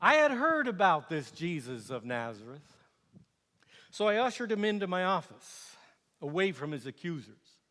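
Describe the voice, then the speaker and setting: Sardonic Roman governor for stage monologue, male actor: high-pitched, forceful, scornful and exasperated, theatrical delivery. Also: normal speed, exaggerated voice. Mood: weary, wry. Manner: animated, scornful, exasperated, swinging from quiet asides to outbursts. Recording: echoey hall with long reverb.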